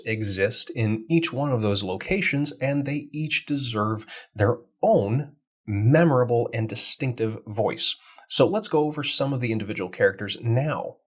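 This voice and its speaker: A man's voice: medium pitch, husky. An American man with an American accent, a game master hosting an explanatory talk on tabletop roleplaying. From United States. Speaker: game master and channel host.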